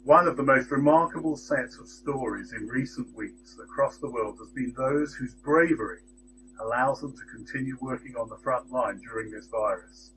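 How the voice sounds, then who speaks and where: A middle-aged man reading a Bible passage and giving a church reflection, in a measured, deliberate way, lifting his voice on the quoted words, recorded at close range on a webcam in a small room. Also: medium pitch. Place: small indoor room with shelves. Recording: steady electrical hum behind the voice.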